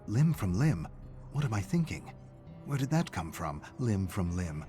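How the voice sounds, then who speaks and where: A man voicing a troubled schoolgirl who anxiously questions dark thoughts in a dramatic story. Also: lowish voice, sing-song, measured pace, soft background music. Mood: shocked, anxious.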